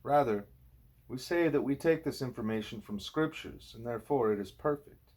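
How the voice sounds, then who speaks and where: Guy narrating a spiritual audiobook, kinda raspy mid-range voice, deliberate and emphatic phrasing. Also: medium pitch, darkish tone. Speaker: audiobook reader. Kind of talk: scripted narration of a spiritual dialogue.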